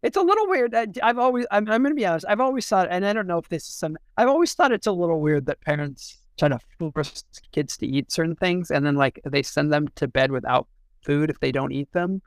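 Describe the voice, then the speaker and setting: Male poetry podcaster: raised pitch, heavy vocal fry, casual delivery that circles and repeats itself. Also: expressive, no room sound. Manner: halting, rambling, repeats words as thoughts form. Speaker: poetry editor discussing poems on a podcast. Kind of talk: casual discussion with a short read-out.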